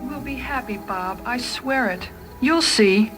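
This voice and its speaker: A woman's voice: fairly high pitch, takes it slowly, fairly bright tone. A woman playing a devoted young woman in love in a dramatised story, earnest, emotional, pleading yet proud. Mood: tender, pleading. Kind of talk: dramatised short-story reading. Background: music.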